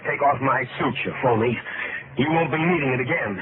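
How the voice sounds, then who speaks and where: A man's voice: mid-range voice, a little fast, phone-quality sound, close to an everyday voice. An actor playing a meek, aggrieved man turned bitter and desperate in a 1950s radio drama. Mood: indignant. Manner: muttering, indignant, sputtering. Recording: noisy, band-limited old radio recording.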